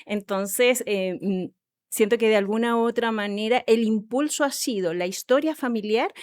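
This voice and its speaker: A woman's voice: fairly high pitch, darkish tone, dry recording. A woman with a Chilean accent giving reflective, thoughtful answers as an interview guest, explaining and checking for agreement. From small rural town in southern Chile. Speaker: interview guest, psychologist and sexologist.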